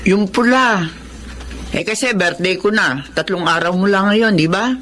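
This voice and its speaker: A woman playing a harsh, disdainful mother with a sharp tongue in a Filipino radio drama. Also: mid-range voice, a little slow, slight background noise, lightly acted. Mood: grumbling. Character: harsh, disdainful mother who scorns her ugly son.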